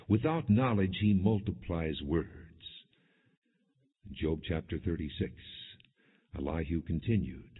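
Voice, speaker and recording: A man narrating scripture in a solemn, measured, declamatory way, as in an audio Bible. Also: deep voice, breathy edge. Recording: phone-like narrow band, long hall reverb.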